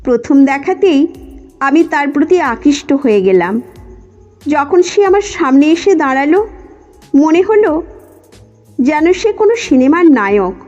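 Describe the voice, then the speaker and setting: High-pitched woman narrating slowly over music. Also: very animated, a little mellow. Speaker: story narrator. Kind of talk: scripted first-person story narration. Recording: muffled lo-fi audio with music. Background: background music.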